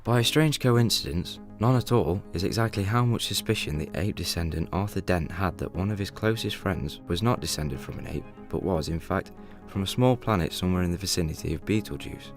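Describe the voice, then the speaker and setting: A young man playing a wry, all-knowing guidebook narrator in a radio play, with measured, deadpan delivery. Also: sits low. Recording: music bed under the voice.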